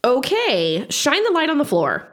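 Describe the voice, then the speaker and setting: A woman plays a sardonic young detective heroine in an audio comedy. Her voice sits fairly high and moves around a lot, with a brisk, bossy, hard-boiled edge. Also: wildly swooping pitch, exaggerated voice. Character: bossy, sarcastic young female detective adventurer, older sister. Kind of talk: comedic audio drama acting.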